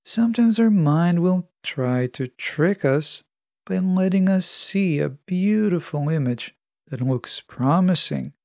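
A man hosting a podcast, delivering a scripted motivational monologue with measured, deliberate phrasing. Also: sits high, animated, gravelly, darkish tone, dry recording, studio quality. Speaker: podcast host.

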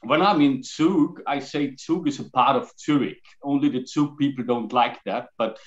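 A man in his forties from Austria, speaking English with an Austrian accent as a guest on a video call, halting with fillers. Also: mid-range voice. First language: German. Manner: gestures while talking, halting with fillers. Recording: slightly dull video call audio.

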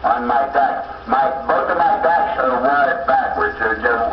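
A man chatting casually over a CB radio, explaining things in a halting, rambling way. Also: mid-range voice, soft background music. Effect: CB radio transmission through speaker. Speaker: CB radio operator talking over the air. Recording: muffled CB speaker, echoey, distant, noisy.

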